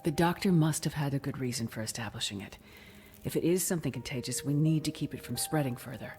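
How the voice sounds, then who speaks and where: An actress playing a composed, determined woman in a video game drama, calm, serious and reassuring. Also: extremely low pitch, fast talker, faint music behind. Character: composed, determined woman searching for a missing doctor.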